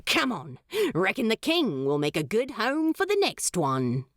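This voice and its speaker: A cheeky, blunt male demon in a fanfiction audiobook, as a woman narrator puts him on. He talks slowly and casually, with a very lively lilt, in a dry close recording. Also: medium pitch, dry recording. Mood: breezy. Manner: breezy, slangy, blunt.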